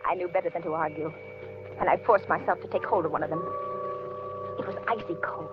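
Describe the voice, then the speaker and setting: A woman playing a frightened, placating older housewife in an old-time radio thriller, with an anxious, halting, dramatic delivery. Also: lowish voice, over music, natural voice. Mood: tense narrating. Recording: muffled, hissy vintage radio recording.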